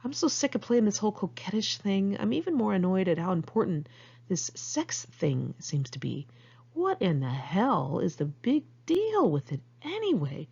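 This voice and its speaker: A woman's voice: medium pitch, sing-song, normal speed, gravelly, darkish tone, slightly rough, highs cut off. An American woman reading her own memoir aloud in a candid, conversational, sardonic way. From United States, Los Angeles area. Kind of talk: first-person memoir narration, read aloud in one take.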